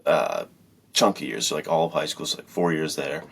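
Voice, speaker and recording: Very deep, gravelly man chatting casually. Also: fairly quick, fairly bright tone, a little hiss or hum. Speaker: podcast speaker, filmmaker and writer. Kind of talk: casual conversational storytelling.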